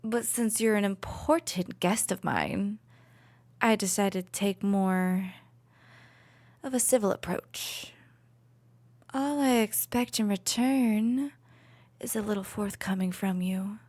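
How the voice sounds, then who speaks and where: A woman's voice: mid-range voice, slow pace, long pauses, no room sound. Woman acting a haughty, cruel villain princess, close and dry. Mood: condescending, smug. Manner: condescending, taunting, haughty, with soft menacing asides. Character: cruel, possessive royal villainess, a mocking princess captor. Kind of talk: ASMR roleplay, dramatic monologue to listener.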